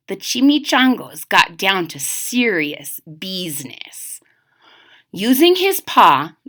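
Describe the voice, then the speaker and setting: A woman giving an expressive, dramatic children's storybook read-aloud, explaining things to young listeners. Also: mid-range voice. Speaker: storybook reader.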